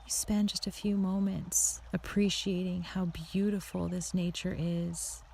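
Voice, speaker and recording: A woman narrating a guided meditation in a soothing, unhurried, softly spoken way with a creaky voice, leading the listener through an imagined scene. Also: on the low side, level tone, slight background noise. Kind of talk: guided meditation, second-person scripted visualization.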